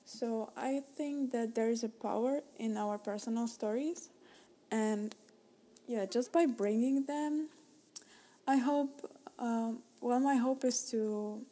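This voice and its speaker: A woman's voice: on the high side, steady tone, takes it slowly, dark tone. A young Czech woman with a Czech accent, hosting a solo podcast and talking in a rambling way, full of fillers and restarts. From Czech Republic. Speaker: podcast host.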